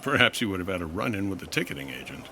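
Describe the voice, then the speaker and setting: An actor playing a suave, wisecracking 1950s insurance investigator in old-time radio detective style, with a dry manner. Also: male voice, low voice, big pitch swings, rapid-fire, sharp tone, slight background noise, full character voice. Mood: wry, charming.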